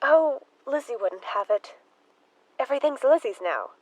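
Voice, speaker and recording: High-pitched, fretful spinster played by a woman. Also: lively intonation, normal speed, darkish tone, no room sound, low-quality recording. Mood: defensive. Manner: fluttery, pleading, defensive. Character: anxious, fretful spinster sister, loyal and easily distressed. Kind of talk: theatrical radio drama acting.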